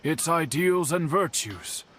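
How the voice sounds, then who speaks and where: An actor playing a courteous, reflective older master swordsmith in a video game, speaking formally and solemnly. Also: male voice, on the high side, lively intonation, takes it slowly, a bit bright, slight background noise.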